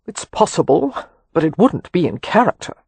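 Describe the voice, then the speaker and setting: An audiobook narrator voicing an impatient young gentleman who is brusque and sardonic. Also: medium pitch, big pitch swings, moderate pace, gravelly, breathy edge, no room sound, heavily acted. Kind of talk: audiobook character voice.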